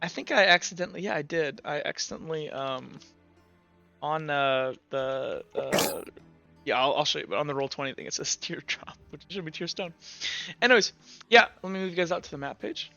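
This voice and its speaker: A young man running a tabletop game, narrating loosely and padding his sentences with fillers. His voice is bright and crisp but comes through a dull lo-fi mic in a dry space. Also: on the high side, a little fast, soft background music. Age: young adult. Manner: loose and hedging, full of kinda and uh. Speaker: game master.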